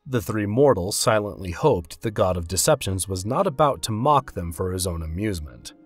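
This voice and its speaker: A man's voice: low voice, lively intonation, normal speed, slightly rough, faint music behind. A man narrating a scripted fantasy story.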